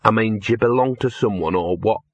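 A man voicing a scruffy, streetwise male mongrel dog who mutters gruffly out of the side of his mouth. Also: on the low side, a little fast, dry recording.

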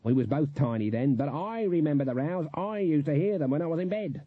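Guy putting on a grumpy old witch lady, husky, tinny echoey audiobook sound. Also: on the high side, lively intonation, fairly quick, pitched above the performer's own voice. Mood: indignant. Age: older. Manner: grumbling, dismissive. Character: stern, irritable old woman witch, bitter and indignant. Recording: echoey, narrow phone-like audio.

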